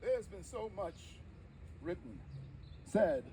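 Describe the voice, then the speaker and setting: Actor as a dignified, high-pitched old-time preacher orator. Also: male voice, big pitch swings, dark tone, slight background noise, heavily acted. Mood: firm, dignified. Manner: emphatic, deliberate storytelling with dramatic pauses. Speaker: live history interpreter. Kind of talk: theatrical first-person oratory before an audience.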